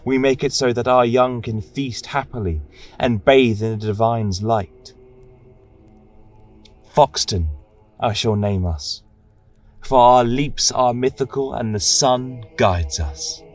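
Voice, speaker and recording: A man voicing a serene female angel and warrior queen in a fantasy audio tale, soft, reverent and stately. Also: mid-range voice, slow pace, soft background music, natural voice. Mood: reverent wonder.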